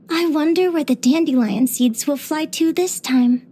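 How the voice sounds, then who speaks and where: High-voiced bubbly young adventurer girl. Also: slow pace, slight background noise. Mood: wistful, playful. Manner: expressive, chirpy, with sighs and giggles. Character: bubbly young female adventurer and naturalist, curious and sweet. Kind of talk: scripted video game character voice lines.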